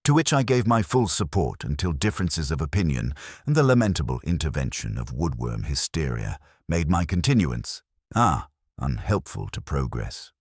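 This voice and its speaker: A man playing a pompous town alderman in a mystery story, self-important, evasive and smooth in manner. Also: very deep voice, sing-song, normal speed, dry recording, studio quality, full character voice.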